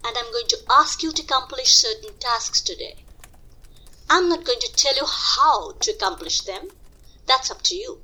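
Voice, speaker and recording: A woman's voice: high-pitched, animated, normal speed, pushed and bright, exaggerated voice, low-quality recording. A woman playing a composed test moderator in an audio drama, giving instructions in a professional, reassuring manner. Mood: calm, instructive.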